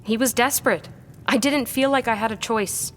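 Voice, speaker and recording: An actress playing a composed, guarded historian in a gothic period audio drama, measured and earnest in her delivery. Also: a little high, a bit bright, a little hiss or hum, lightly acted. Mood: apologetic, anxious. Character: composed, guarded female historian, quietly apologetic.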